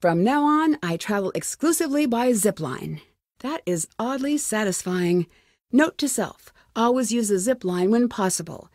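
A woman playing a plucky, quick-witted young female detective in a video game, thinking aloud in clearly spoken one-liners. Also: medium pitch, animated, darkish tone, dry recording, studio quality, close to an everyday voice. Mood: amused. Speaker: game protagonist.

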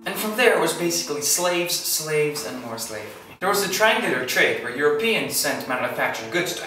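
A man's voice: a little high, sharp tone. A teenage boy whose first language is Polish, presenting a school book report with playful asides, recorded in a small room on a camera mic. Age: teens. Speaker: student. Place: small indoor room, plain wall. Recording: echoey room, distant mic, music behind.

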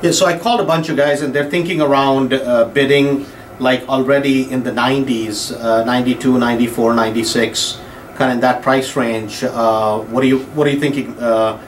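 A man on a phone call in a small office, talking slowly with many fillers and drawn-out words. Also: medium pitch, steady tone. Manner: drawn-out, filler-heavy talk. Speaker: real estate investor bidding at auction. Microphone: phone held at mouth, speakerphone. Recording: roomy office with faint hum.

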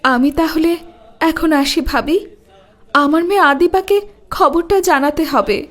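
A woman's voice: sits high, unhurried, a bit bright. A woman playing a well-off, envious housewife in a cartoon, with plaintive, melodramatic delivery. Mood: remorseful. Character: dramatic, envious wealthy housewife and mother.

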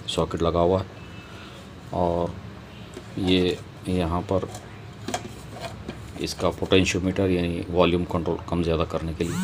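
Man, low-pitched, monotone, informal tutorial host, step-by-step explaining, slightly noisy home-made recording. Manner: explains step by step, addressing viewers. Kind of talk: informal hands-on repair tutorial.

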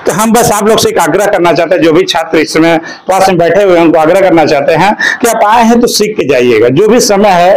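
A man in his thirties questions students one by one, repeating their answers back in short replies. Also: sits high. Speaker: institute staff interviewing students. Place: crowded classroom, indoors. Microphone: handheld mic. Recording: noisy room full of students.

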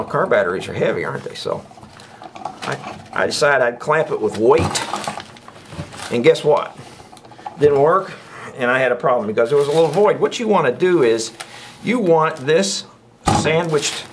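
An older man talks through a hands-on workshop demonstration in a medium-pitched voice. He is folksy and chatty and likes to put questions to the listener. The recording has clear background noise. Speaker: woodworking instructor. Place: indoors at a workbench.

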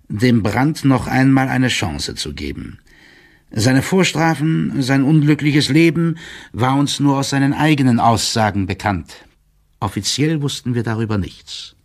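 A man playing an older, loyal court clerk who delivers a confidential report in a formal, measured and officious manner, as in an audiobook drama. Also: medium pitch. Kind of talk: dramatised first-person reading of a formal written report.

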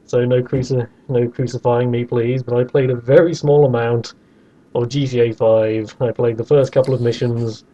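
A man hosting a podcast, chatting casually and with opinions about video games, in an animated way. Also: mid-range voice, moderate pace, muffled recording. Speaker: podcast host.

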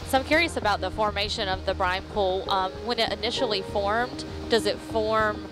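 A woman's voice: medium pitch, normal speed, over music. A woman asking curious questions in a casual live expedition commentary.

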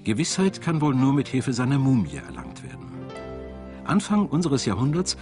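A man's voice: mid-range voice, wildly swooping pitch, moderate pace. Guy doing documentary voiceover, serious storyteller, lots of rise and fall in the voice, music playing under him. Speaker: documentary narrator. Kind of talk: scripted documentary narration in German. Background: background music.

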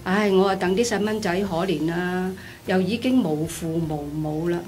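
A woman's voice: lowish voice, moderate pace, a little mellow, close to an everyday voice. A woman with a Hong Kong Cantonese accent playing a chatty, pleading orphanage director in a radio drama. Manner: exclamatory, persistent. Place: small padded radio studio. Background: music.

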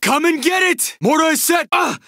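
High, forceful young zombie-game survivor. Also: male voice, moderate pace, no room sound, studio quality, heavily acted. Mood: urgent, alarmed. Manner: terse, urgent, forceful callouts. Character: urgent young male survivor fighting zombie hordes. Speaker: video game voice actor. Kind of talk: video game combat barks.

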